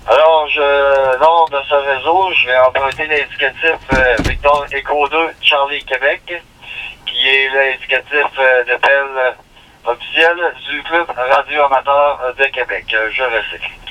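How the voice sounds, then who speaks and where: A man with a Quebec French accent running an amateur radio net, speaking haltingly and courteously over a digital radio link. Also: medium pitch, steady tone, bright, forceful tone. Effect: digital ham radio transmission. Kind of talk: formal radio net announcements. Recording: muffled radio transmission, clear vehicle noise.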